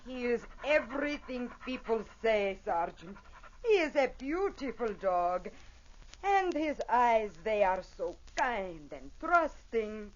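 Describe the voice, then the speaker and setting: An actress playing a gracious, charming Italian madame in an old-time radio drama, with an Italian accent and formal, impassioned phrasing. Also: female voice, on the high side, very animated, slow pace. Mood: warm, wistful. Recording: muffled old radio show recording.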